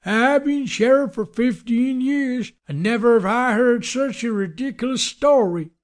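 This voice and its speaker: A man voicing a sceptical small-town sheriff in a story, firm, slow and patronising. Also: very high voice, pitched above the performer's own voice. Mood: dismissive.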